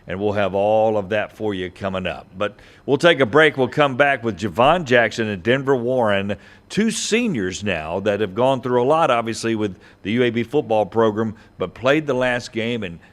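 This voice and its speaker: A man's voice: lowish voice, lively intonation, normal speed. A man hosting a sports podcast, talking and interviewing in a conversational way.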